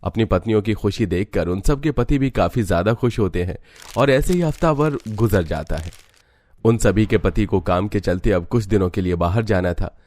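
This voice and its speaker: Low-voiced man narrating a dramatic story. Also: expressive, normal speed, a little mellow. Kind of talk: scripted Hindi cartoon story narration.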